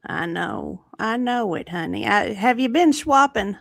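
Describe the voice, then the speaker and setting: Woman playing a slow, folksy grandma. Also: a little high, expressive, vocal fry, fairly bright tone, no echo, studio quality. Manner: drawling, hesitant, folksy. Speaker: host. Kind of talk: improvised comedy chat in character.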